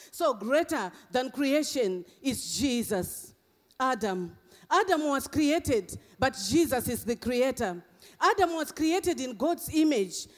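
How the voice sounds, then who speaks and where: A middle-aged man with a Zimbabwean accent, softened by years in England and Australia, delivers a fervent sermon in a strikingly high register. His words ring out under a long church reverb, and hallelujahs punctuate nearly every thought. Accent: Zimbabwean, mixed with English and Australian. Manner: emphatic, punctuated with frequent hallelujahs. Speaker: guest preacher.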